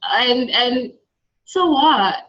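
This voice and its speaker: A woman's voice: sits high, animated, drawn-out words, fairly bright tone, highs cut off. A Singaporean woman being interviewed, talking conversationally and motivationally in an emphatic way that repeats key phrases. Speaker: interview guest, coach.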